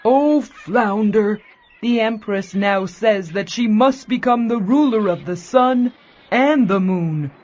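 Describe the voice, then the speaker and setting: A storyteller playing a pleading, henpecked fisherman in a children's storybook, with drawn-out, beseeching calls. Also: medium pitch, a little mellow, pitched above the performer's own voice, close to an everyday voice. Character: pleading henpecked fisherman begging a magic fish. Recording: dull lo-fi audio with music.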